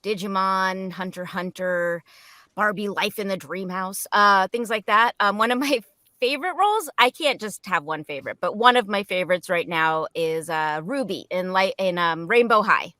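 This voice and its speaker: A woman's voice: mid-range voice, normal speed, sharp tone, no echo, clean studio sound. A woman voice actor and audiobook narrator talking casually about herself as a panel guest. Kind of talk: casual self-introduction.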